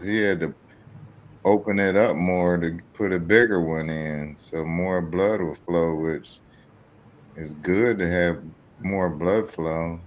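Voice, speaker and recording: A man's voice: low voice, a little hiss or hum. A man of fifty-eight talking casually and personally as a podcast guest.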